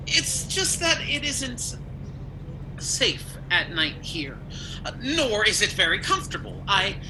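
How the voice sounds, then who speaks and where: A woman's voice: medium pitch, very animated, takes it slowly. A woman playing an anxious, humbly polite householder in a radio play, hesitant and deferential. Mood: anxiously polite. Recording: noisy home video-call recording.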